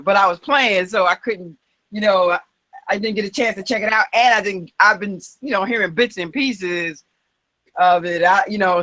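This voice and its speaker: A woman from Queens, New York, a drummer and guest, talking in quick, scattered bursts. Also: medium pitch, sharp tone, dry recording. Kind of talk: casual chat.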